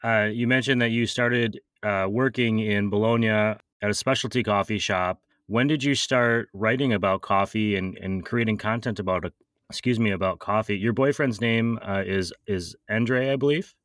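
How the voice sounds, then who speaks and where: A man's voice: lowish voice, moderate pace, fairly bright tone, no room sound, clean studio sound. A man hosting an interview, asking questions casually with hesitations and restarts. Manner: hesitant, with filler ums and restarts. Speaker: interview host.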